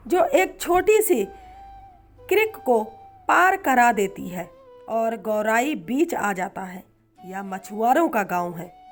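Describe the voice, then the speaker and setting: A woman narrating a scripted moral story in a measured, dramatic storytelling manner. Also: high voice.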